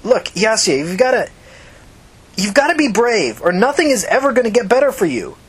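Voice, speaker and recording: A woman's voice: fairly high pitch, big pitch swings, normal speed, breathy edge, voice pushed up. A woman voicing a kind but blunt young streetwise woman in a story reading, earnest and plain-spoken. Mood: urgent, blunt. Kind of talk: audiobook character acting.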